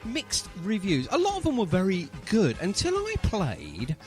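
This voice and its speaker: A man's voice: high voice, huge pitch swings, unhurried, faint music behind. A man hosting a casual radio interview, chatting in a jokey, effusive way. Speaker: radio show host.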